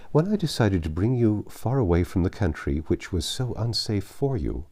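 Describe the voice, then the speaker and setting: A man voicing a calm, impassive, formal gentleman in an audiobook of a classic novel, polite and restrained. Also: lowish voice, normal speed.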